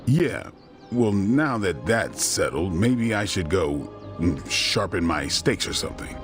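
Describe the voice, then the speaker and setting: A man's voice: low voice, big pitch swings, takes it slowly, fairly bright tone, exaggerated voice. A man voicing a wisecracking but easily flustered vampire hunter in a video game, casual and slangy. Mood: flustered, defensive. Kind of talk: scripted game dialogue, casual. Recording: music behind the voice.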